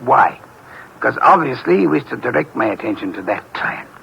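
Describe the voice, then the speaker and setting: An actor playing a pompous, precise older detective with lordly diction, in 1950s radio drama style. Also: male voice, mid-range voice, big pitch swings, normal speed, vocal fry, pushed and bright, dull audio, full character voice. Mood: lecturing. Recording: old radio broadcast with faint hiss.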